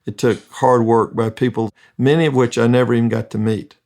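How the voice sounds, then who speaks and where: A man's voice: medium pitch, fairly even intonation, a little fast, gravelly, a little mellow. A man speaking as a construction project manager, telling earnest, reflective stories in an interview.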